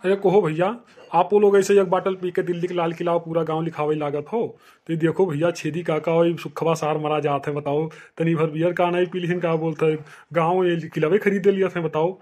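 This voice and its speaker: A man plays a bossy cartoon villager with a notably high voice and an Awadhi accent. He scolds and threatens in blunt rustic speech, thoroughly exasperated with his companions. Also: flat delivery, brisk, darkish tone, natural voice. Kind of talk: comic cartoon dialogue.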